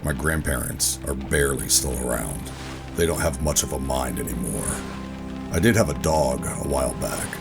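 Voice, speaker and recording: A man playing a weary, guarded government operative, telling a sci-fi horror audio drama in a brooding, deliberate way. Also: a bass voice, creaky. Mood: sad, resigned. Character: weary, guarded male government operative narrating his own ordeal. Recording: music under the voice. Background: music.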